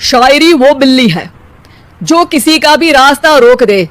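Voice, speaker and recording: A man's voice: very high voice, lively intonation, measured pace, background noise. A voice actor playing a lazy young husband in a cartoon who lives off his in-laws and recites rhyming couplets with comic flourish. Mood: showy. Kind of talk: cartoon voice acting.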